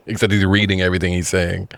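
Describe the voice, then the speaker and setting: A man's voice: low-pitched, little pitch movement, moderate pace, creaky, fairly bright tone. A laid-back man co-hosting a podcast, chatting casually in short, laconic reactions. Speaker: podcast co-host. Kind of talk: casual chat, brief reactions.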